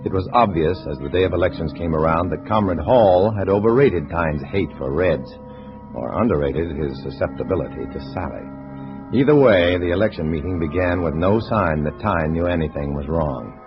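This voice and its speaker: Casting: brooding undercover spy hero, deep clipped narration, old radio drama, dull sound under prominent music. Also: male voice, expressive, moderate pace. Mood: tense, brooding. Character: undercover agent posing as a communist, weary, guarded narrator hero. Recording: dull vintage radio recording, loud music.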